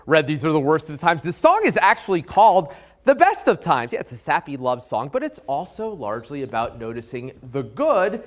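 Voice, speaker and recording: A man's voice: on the high side, huge pitch swings, a little fast, dry recording, clean studio sound. A man narrating a news package as reporter, wry and playful.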